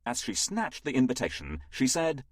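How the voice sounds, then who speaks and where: A man narrates a children's story with hugely varied pitch. His voice is husky and recorded very dry and close, in an expressive storytelling style. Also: moderate pace, no room sound.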